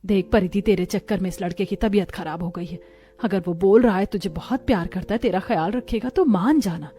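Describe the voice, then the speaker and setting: A woman's voice: medium pitch, a little fast, mellow, slight background noise, voice pushed down, lightly acted. A woman voicing a concerned, coaxing village mother in a cartoon story.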